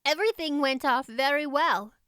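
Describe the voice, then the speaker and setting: A woman's voice: high voice, fairly bright tone, dry recording, clean studio sound, voice pushed up. A woman voicing a practical, chatty young girl, a loyal friend, in an audiobook. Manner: matter-of-fact, brisk, emphatic. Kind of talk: audiobook character voice.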